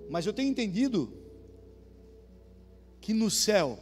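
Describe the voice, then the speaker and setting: A man's voice: high-pitched, big pitch swings, a little slow. A man with a southern Brazilian accent preaching a sermon, talking with the congregation and asking them questions. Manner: conversational, asks the congregation questions. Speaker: pastor. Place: church hall. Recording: reverberant church hall with soft music.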